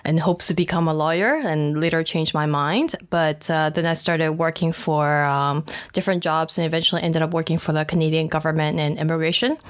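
A woman in her thirties with a very low voice talks about her life in a loose, friendly interview. She sounds relaxed and chatty, letting fillers slip in as she thinks aloud. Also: fairly quick, few pauses. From Taiwan and the United States. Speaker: guest, food photographer. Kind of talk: casual interview chat.

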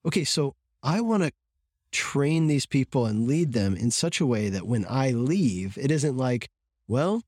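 A man's voice: medium pitch, very animated, measured pace, darkish tone, dry recording, studio quality. A man who was once a missionary pastor abroad, reflectively telling a story in a casual podcast conversation.

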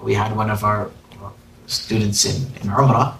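A man leads a religious lesson, switching between quick recited Arabic and relaxed spoken explanation. He sits back from the microphone in a reverberant room with a faint hiss underneath. His pitch is middle-of-the-road for a man. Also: gravelly. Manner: conversational, breaks into brisk Arabic recitation. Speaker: religious teacher. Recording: echoey room, distant mic, faint noise.

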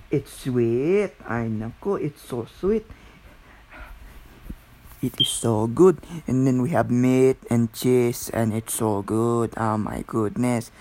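Teen, Filipino accent, slow pace, mid pitch, casual rambling monologue, repetitive, exclamatory. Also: a little mellow, rough recording. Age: teens, about 16. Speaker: video creator.

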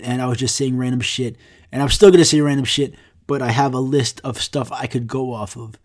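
A young man hosting his own podcast while sick, rambling casually with lots of swearing and deadpan jokes. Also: mid-range voice. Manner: profane, rambling, deadpan jokey. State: sick, congested. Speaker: podcast host.

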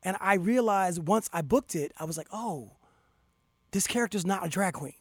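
Animated storyteller, guest performer, mid pitch, close dry mic. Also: normal speed, husky, dry recording. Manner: animated storyteller, acts out quotes. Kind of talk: casual chat, storytelling.

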